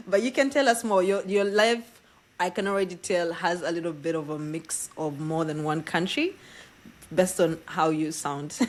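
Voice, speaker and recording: Chatty woman hosting a podcast. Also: fairly low pitch. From East Africa. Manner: chatty, laughs often, lively storytelling. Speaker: podcast host. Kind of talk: casual interview chat.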